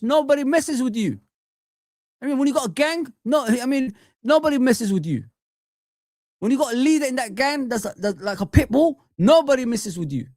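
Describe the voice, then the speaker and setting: A man's voice: very high voice, darkish tone, dry recording, clean studio sound. Ranting fan stream host, a man. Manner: heated, ranting, repetitive. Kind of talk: live fan chat stream.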